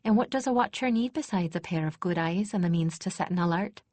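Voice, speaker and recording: A woman's voice: on the low side, quick pace, a little mellow, dry recording, lightly acted. A woman voicing an earnest young herald in an audiobook, speaking politely and laying out her reasoning.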